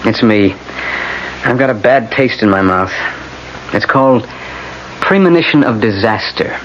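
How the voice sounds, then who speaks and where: An actor playing a weary, sardonic defense lawyer in a radio drama. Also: male voice, on the low side, animated, unhurried, a bit bright. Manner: sardonic, glum. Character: weary, wry male defense lawyer expecting defeat. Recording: muffled, noisy old radio broadcast.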